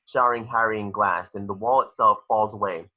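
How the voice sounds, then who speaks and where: Forceful, husky man narrating a screenplay. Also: lowish voice, steady tone, fairly quick, dull audio. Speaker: stage directions narrator. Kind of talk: dramatic screenplay read-through.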